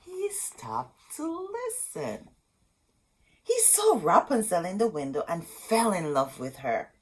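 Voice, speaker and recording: A woman storyteller reading a storybook aloud expressively, in short dramatic phrases with pauses. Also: mid-range voice, huge pitch swings, husky.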